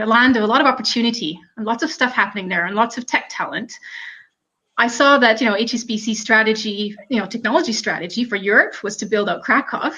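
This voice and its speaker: A woman's voice: on the high side, sharp tone. Canadian woman telling her career story. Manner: conversational, frequent ums and "you know". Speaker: panel speaker. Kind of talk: personal career story talk. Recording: muffled lo-fi audio.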